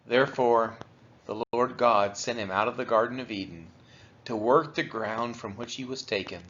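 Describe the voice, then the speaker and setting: A man reading scripture aloud for a daily read-along, phrasing it deliberately in short units. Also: medium pitch, fairly bright tone, highs cut off. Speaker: Bible reader for a church's daily read-along.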